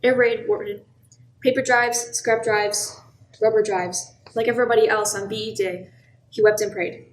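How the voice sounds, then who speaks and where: A woman's voice: medium pitch, steady tone, moderate pace, close to an everyday voice. A woman playing the storytelling narrator of an amateur radio play, reading scripted narration. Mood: narrating. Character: storybook narrator recounting a small town's wartime years. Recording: echoey room with background noise.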